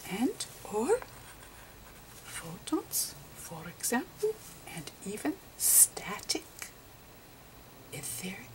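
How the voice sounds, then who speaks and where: A woman giving an ASMR lecture in a breathy whisper, speaking in halting short phrases. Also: fairly high pitch, rough recording. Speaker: ASMR performer playing a physicist. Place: close over a chalkboard on a table indoors.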